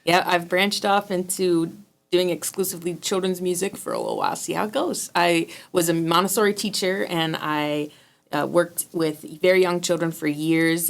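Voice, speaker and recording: A woman who writes and plays music for children talks easily on a radio show. Her voice sits low and has a gravelly fry to it. She speaks in a relaxed, chatty way and laughs often. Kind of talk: casual radio interview.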